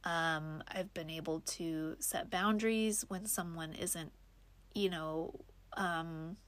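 Slow, stuffy-nosed young woman vlogging. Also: lowish voice, a bit bright. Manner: slow, reflective, many ums and likes. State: stuffed up from allergies. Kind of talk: casual personal vlog talk.

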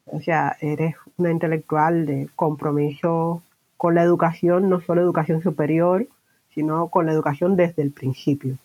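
A woman's voice: sits low, steady tone, moderate pace, mellow, dull audio. A woman hosting an informal bilingual podcast interview, mixing English words into her Spanish. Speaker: podcast host interviewing a guest.

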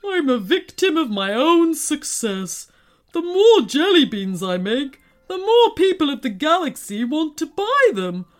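A woman's voice: high voice, big pitch swings, measured pace, pitched above the performer's own voice. A woman voicing a giant red male alien villain in a children's story, blustering, weepy and melodramatic, with music behind. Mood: tearful, exhausted. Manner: wailing, bellowing, melodramatic.

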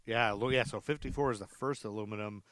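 A man's voice: fairly low pitch, quick pace, fairly bright tone, dry recording. Casual male podcast host, close dry sound. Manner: chatty, laughs readily, loosely phrased.

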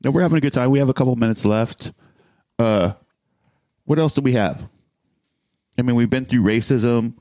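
A man's voice: medium pitch, moderate pace, pauses often, mellow, no echo, clean studio sound. A man hosting a casual podcast chat, rambling with restarts and frequent laughter. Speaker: podcast host.

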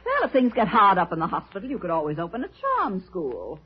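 An actress playing a wry, wisecracking woman in a 1940s radio drama, with a husky voice and teasing manner. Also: mid-range voice, wildly swooping pitch, brisk, heavily acted. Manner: sardonic, teasing. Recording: muffled old radio recording.

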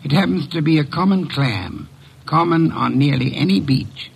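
An actor in an old-time radio drama playing a portly, middle-aged private detective, courtly, pompous and dryly sardonic. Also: male voice, on the high side, big pitch swings, normal speed, creaky, slight background noise. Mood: accusing.

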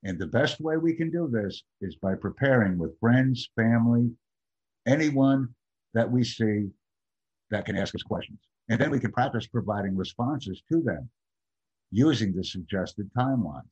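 A husky-voiced man lectures an exam prep class in measured, repetitive phrases. His voice comes through muffled, dull online call audio. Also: mid-range voice, lively intonation, darkish tone. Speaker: English exam teacher.

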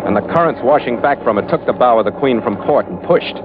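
A man's voice: medium pitch, expressive, fast, background noise, close to an everyday voice. An American man acting a tough, weathered ship's skipper in a 1940s radio drama, narrating his log in a hard-boiled, clipped way. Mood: measured, vivid. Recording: tinny old radio recording, music behind.

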